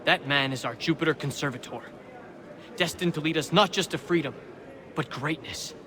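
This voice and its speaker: An actor playing a wry, suspicious colonial prisoner in a video game, talking in an arch, eloquent, theatrical way. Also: male voice, on the high side, normal speed, crowd noise, natural voice. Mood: fervent. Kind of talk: video game cutscene acting.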